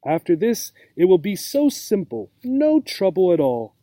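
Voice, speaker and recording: Storybook mother kangaroo, played by a man: anxious, gentle, pleading, slightly high, soft-toned. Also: wildly swooping pitch, a little slow, no echo, studio quality, full character voice. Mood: relieved, hopeful.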